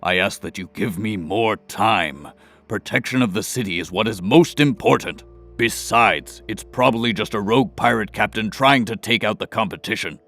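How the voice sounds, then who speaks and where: A man's voice: on the low side, moderate pace, bright, forceful tone, voice pushed down. A man playing a commanding, impatient king with an authoritative manner in a fantasy audio drama. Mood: stern, impatient.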